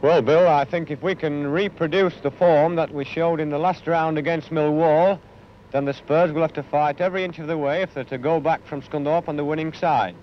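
A man's voice: fairly high pitch, steady tone, normal speed. A British man in his thirties, a football captain, giving a rehearsed interview answer. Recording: dull 1950s film sound, faint noise.

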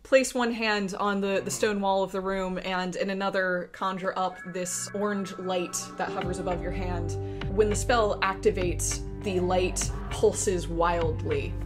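A woman acting as game master, narrating a tabletop role-playing game. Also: fairly low pitch.

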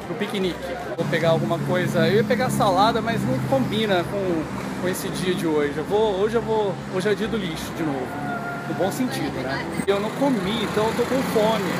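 A Brazilian man in his thirties, hosting a chatty, excited vlog, recorded on a phone held at arm's length outdoors in a city park. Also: high-pitched, very noisy. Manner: chatty, excited, lots of "né?" tags. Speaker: vlogger host. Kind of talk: upbeat vlog chat.

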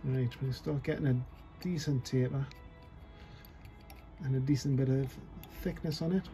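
A man's voice: medium pitch, steady tone, normal speed, mellow. Chatty man tying flies over music. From North East England. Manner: chatty, self-deprecating, thinks aloud while working. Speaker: fly tying tutor. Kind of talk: casual off-the-cuff tutorial. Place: indoor tying desk.

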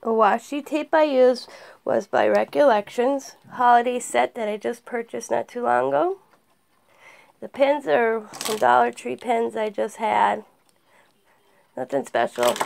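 A hoarse woman with a cold, chatting casually as she walks through her planner pages item by item. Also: on the high side. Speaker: planner vlogger. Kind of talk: casual show-and-tell narration. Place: indoors at a craft desk. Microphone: camera pointed down at the desk, speaker off-screen.

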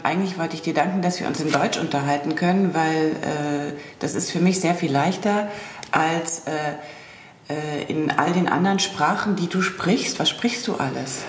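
Woman, podcast host, articulate, dry irony, low-pitched, faint background noise. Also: normal speed, echoey room. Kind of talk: interview podcast.

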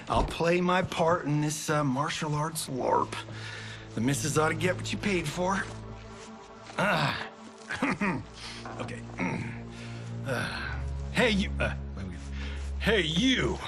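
Brief: a wisecracking game-cutscene movie star, lively sarcastic delivery, slow drawl. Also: male voice, on the high side. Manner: sarcastic, joking, laughs often. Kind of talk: video game cutscene acting. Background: music.

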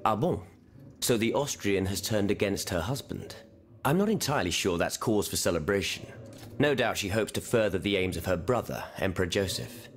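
A man's voice: lowish voice, animated, moderate pace, lightly acted. A man voicing an eloquent, proud revolutionary lawyer in a video game, with formal rhetorical delivery. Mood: wary, skeptical. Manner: formal, rhetorical, sprinkled with French phrases. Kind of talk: video game cutscene acting.

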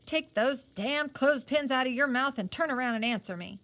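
A woman reading aloud as an arrogant but good-humoured young army lieutenant, brisk and commanding, in a storybook. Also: high-pitched, a bit bright, pitched above the performer's own voice. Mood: mock angry. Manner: brisk, commanding, barked questions and orders.

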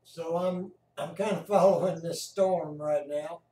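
A man with an American accent giving casual, halting live commentary as he watches and narrates a screen. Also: on the high side, steady tone, mellow. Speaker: amateur storm-watcher narrating a screen recording. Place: indoors at home by a computer. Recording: roomy echo.

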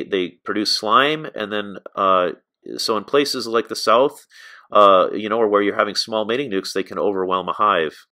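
American male instructor, middle-aged, slightly low pitch, crisp bright tone, informal explanatory delivery. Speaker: beekeeping instructor. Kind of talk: informal narrated slide lesson.

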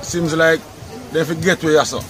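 A man's voice: on the high side, fairly even intonation, a little fast. A young Jamaican man interviewed outdoors under a tarp, easygoing and calling the interviewer brother, picked up by a phone a few feet away. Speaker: interviewee, local business owner. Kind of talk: casual interview. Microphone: phone camera a few feet away. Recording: outdoor phone audio with loud background noise.

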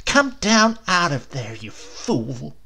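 A man's voice: on the high side, big pitch swings, takes it slowly, bright, forceful tone, slightly rough, full character voice. A man voicing an exasperated, scolding aging wife in an audiobook story. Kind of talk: audiobook character voice.

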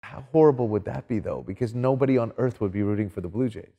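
Male sports pundit, middle-aged, dark relaxed tone, emphatic, reverberant room. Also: on the low side, fast talker, muffled recording. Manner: emphatic, checks in with "right?". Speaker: sports commentator guest. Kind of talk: casual opinionated sports talk. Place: small indoor studio set with couches.

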